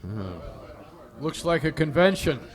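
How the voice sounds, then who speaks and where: An actor playing a flippant, wisecracking private detective in an old-time radio drama, sarcastic and quipping. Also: male voice, fairly high pitch, big pitch swings, a little slow. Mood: puzzled, insistent. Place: live stage performance in a hall.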